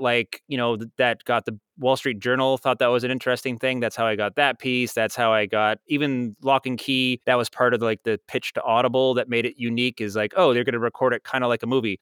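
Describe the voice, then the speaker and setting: A man's voice: mid-range voice, smooth, no room sound, clean studio sound. A middle-aged American man telling anecdotes in a casual interview, rambling and acting out quotes as he goes. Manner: animated rambling storyteller, acts out quotes. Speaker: audio drama producer, guest.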